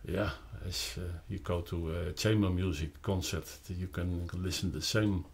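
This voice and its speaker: An older man with a Dutch accent explains a product off the cuff, haltingly searching for words. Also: deep voice, steady tone, gravelly. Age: 60s or older. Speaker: loudspeaker designer presenting his product. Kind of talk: unscripted explanatory product talk. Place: carpeted, wood-panelled listening room indoors.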